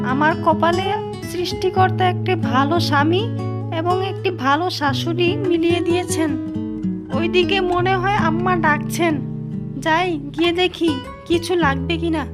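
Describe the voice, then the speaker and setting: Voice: extremely high pitch, fairly even intonation, normal speed. A performer voicing a dutiful, sweet young housewife in a cartoon story, polite, earnest and deferential. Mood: grateful, content. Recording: loud guitar music behind the voice.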